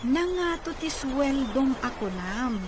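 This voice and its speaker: A woman's voice: high voice, very animated, slow, noisy background, exaggerated voice. An actress playing a familiar, forthright godmother in an Ilocano-accented radio drama. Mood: chatty, persuading. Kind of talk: Filipino radio comedy-drama acting.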